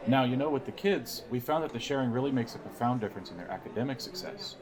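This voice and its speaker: A man playing a middle-aged, over-friendly vice principal who pitches his organization, in a naturalistic audio drama. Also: animated, fast, lightly acted. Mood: persuasive. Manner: genial, persuasive. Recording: busy crowd chatter behind.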